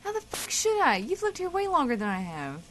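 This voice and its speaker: Blunt, lively woman as a radio guest. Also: high-pitched, brisk, a little hiss or hum. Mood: bossy. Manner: blunt, teasing, profane. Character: blunt, curious, bossy female guest co-host. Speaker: guest co-host. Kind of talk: comedic audio drama, bantering dialogue.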